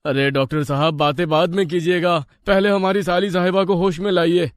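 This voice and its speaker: A man voicing an anxious, excitable village brother-in-law in a cartoon story, flustered and urgent. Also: sits high, fairly quick, clean tone, no echo, clean studio sound, close to an everyday voice. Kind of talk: cartoon moral story acting.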